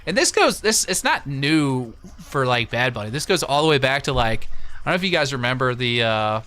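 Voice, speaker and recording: A man's voice: medium pitch, big pitch swings, normal speed, pushed and bright. An American man from Missouri hosting a livestream, chatting casually and giving his opinions as he answers viewers on a close desktop microphone. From Missouri, United States. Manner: conversational, opinionated. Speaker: livestream host. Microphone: close desktop USB microphone.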